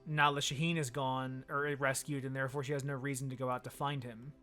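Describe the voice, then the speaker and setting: A man streaming a video game, thinking aloud in relaxed, wry commentary. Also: medium pitch, a little fast. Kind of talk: casual gameplay commentary. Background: game music.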